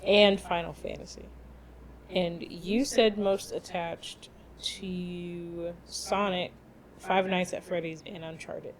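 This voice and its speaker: A woman's voice: on the low side, fairly even intonation, takes it slowly. A woman co-hosting a podcast, chatting casually with lots of fillers and frequent laughter. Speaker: podcast co-host. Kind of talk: casual chat.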